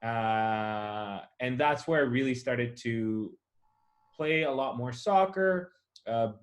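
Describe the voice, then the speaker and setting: A man from a childhood split between the US, Chile and China, answering interview questions in a casual, explanatory, reflective way. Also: mid-range voice. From born in Chile, raised in the US, Chile and China. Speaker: interviewee, soccer club founder. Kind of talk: casual personal storytelling.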